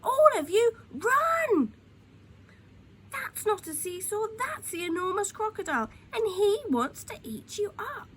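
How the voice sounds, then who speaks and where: A woman voicing an excitable male storybook monkey who shouts urgent warnings. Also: extremely high pitch, a little slow, pitched above the performer's own voice, exaggerated voice. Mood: frantic alarm. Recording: clear background noise.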